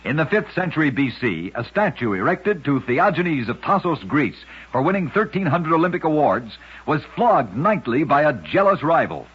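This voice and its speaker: A man hosting an old-time radio program, narrating scripted strange tales in a dramatic, deliberate storyteller's delivery. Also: a little high, sing-song, husky. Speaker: radio host and narrator of oddities. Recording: dull lo-fi vintage radio recording.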